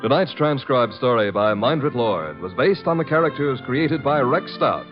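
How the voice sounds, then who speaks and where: Lively male vintage radio announcer. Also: mid-range voice, moderate pace. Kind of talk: 1940s-50s radio announcing, scripted. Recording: muffled vintage radio with music. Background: music.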